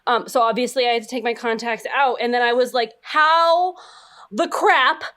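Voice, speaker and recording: A woman's voice: a little high, steady tone, moderate pace, fairly bright tone. A woman telling comic anecdotes in casual chat and putting questions to a panel, with playfully dramatic emphasis. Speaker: panel professor posing quiz question.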